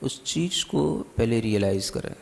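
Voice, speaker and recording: Slow, echoing Indian male meditation guide. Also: medium pitch, creaky. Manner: calm, deliberate instruction with long pauses. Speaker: spiritual teacher, meditation guide. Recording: long hall-like reverb.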